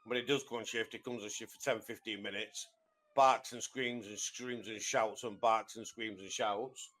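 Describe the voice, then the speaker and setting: A man's voice: on the low side, fairly even intonation, moderate pace, fairly bright tone. A British man in a roleplay police meeting who talks bluntly and complains about a colleague, swearing freely. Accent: British English. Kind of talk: in-character roleplay meeting talk.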